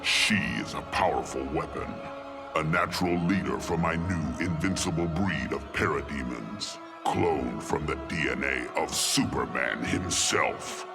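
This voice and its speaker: A man playing a cold, vengeful alien tyrant god with a gravelly voice in a video game villain monologue. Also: a bass voice, unhurried, over music, heavily acted. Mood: cold, imperious.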